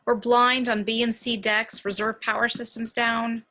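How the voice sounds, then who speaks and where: A woman's voice: a little high, flat delivery, normal speed, sharp tone. A woman reading a wary, challenging spaceship officer in an amateur table read, direct and questioning. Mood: businesslike.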